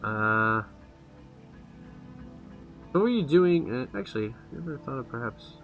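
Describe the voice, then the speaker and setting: A man's voice: mid-range voice, sing-song, a little slow, fairly bright tone. A man giving casual, rambling let's play commentary on a video game. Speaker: gaming video host. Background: game music.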